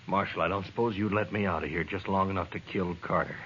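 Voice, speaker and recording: Deep-voiced, grim jailed man, weary and vengeful, on a hissy old radio track. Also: steady tone, fast, fairly bright tone. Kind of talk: 1950s radio drama acting. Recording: muffled old radio, faint background hiss.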